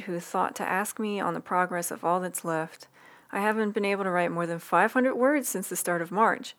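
A woman reads a personal essay aloud in an ASMR read-along style, soft, unhurried and intimate, with audible breath and lip sounds. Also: medium pitch. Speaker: writer reading own newsletter aloud. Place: quiet room indoors. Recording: quiet room hiss, close breath sounds.

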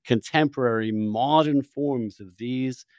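A man's voice: medium pitch, measured pace, darkish tone, no room sound. A man hosting a long-form interview, speaking in an earnest, discursive way and often rephrasing as he goes. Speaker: podcast host.

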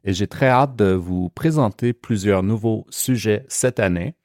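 A man with a Quebec French accent hosting a podcast lesson, explaining slowly and carefully. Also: on the low side, darkish tone, dry recording, studio quality. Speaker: French teacher and podcast host.

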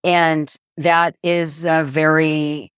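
A woman's voice: low voice, little pitch movement, drawn-out words, a bit bright, clear, resonant tone, dry recording. Woman, forties, real estate agent, explanatory, laughs easily, dry sound. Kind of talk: casual interview chat.

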